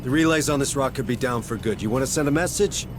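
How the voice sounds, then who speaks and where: A man as a gruff Marine sergeant. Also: mid-range voice, fast talker, noisy background, natural voice. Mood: blunt. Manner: blunt, curt, profane. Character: gruff male Marine sergeant, blunt, tough, in charge.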